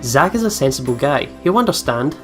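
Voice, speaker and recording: A woman's voice: very deep voice, big pitch swings, a little fast. A woman hosting a let's play, chatting casually and reading a visual novel's story aloud with reactions of her own. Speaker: let's play video host. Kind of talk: casual game commentary and reading narration aloud. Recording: music behind the voice. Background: visual novel game music.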